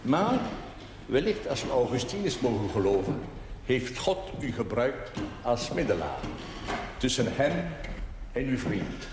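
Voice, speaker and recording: Solemn priest, very echoey room. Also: male voice, mid-range voice, sing-song. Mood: grave, pastoral. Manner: formal, deliberate, sermonizing. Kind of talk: measured, theatrical television drama acting. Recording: very echoey, noisy 1970s TV recording.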